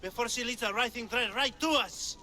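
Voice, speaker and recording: A man playing a hostile, righteous and superstitious accuser in a video game cutscene, forceful and ominous. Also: very high-pitched, a little fast, full character voice.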